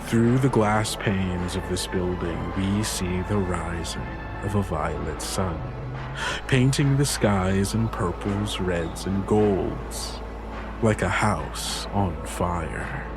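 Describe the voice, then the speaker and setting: A man's voice: sits low, takes it slowly. A man working as game master, telling a tabletop story in descriptive, theatrical narration over music. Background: music under the voice.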